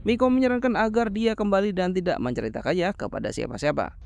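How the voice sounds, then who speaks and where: A man's voice: high-pitched, fast talker, vocal fry, a little mellow. A man narrating a horror film plot as a scripted story recap, explaining as he goes in informal wording.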